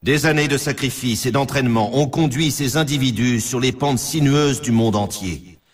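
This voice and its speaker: A man's voice: medium pitch, husky, recorded from a distance. A man playing a grandiose, emphatic announcer for an extreme snowboarding championship in a video game. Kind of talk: scripted dramatic sports game announcing.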